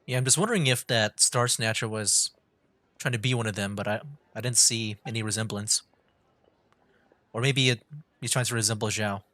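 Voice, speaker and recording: A man's voice: on the low side, moderate pace, pauses often, bright, forceful tone, no echo. A man streaming a game, commenting casually and thinking aloud with a few chuckles. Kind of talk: casual live reaction commentary.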